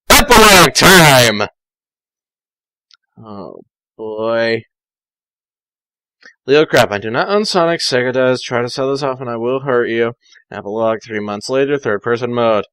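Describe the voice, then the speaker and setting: A man's voice: mid-range voice, expressive, slow, pauses often, pushed and bright, dry recording, poor audio. A man reading fan fiction aloud as an amateur narrator, dropping sardonic, mocking side comments as he goes.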